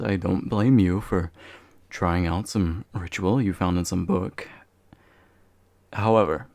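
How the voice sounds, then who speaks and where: A young man acting as a courteous, gentle demon of envy in a soft-spoken ASMR roleplay, formal and soothing with occasional hesitation. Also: low voice, creaky, no room sound, heavily acted. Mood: reassuring, businesslike. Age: young adult. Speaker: voice actor.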